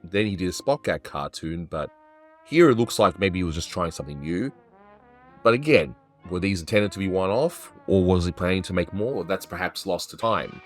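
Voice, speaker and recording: A man's voice: low-pitched, lively intonation, normal speed, faint music behind. A man hosting a casual animation review, chatty and analytical, with frequent restarts. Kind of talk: casual cartoon review commentary.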